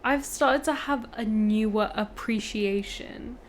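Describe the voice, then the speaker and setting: A woman's voice: fairly high pitch, slow, slight background noise. A woman guest on a podcast, chatting in a teasing way and laughing often. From Punjab, India. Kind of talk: casual chat.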